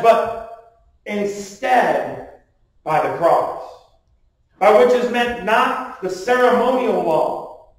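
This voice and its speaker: Heard from a distance in a very reverberant church room, a man delivers a sermon. His voice sits high for a male speaker. He preaches emphatically, leaning on rhetorical questions and calls for agreement. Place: small church sanctuary, at a wooden pulpit indoors. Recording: distant, very echoey room sound.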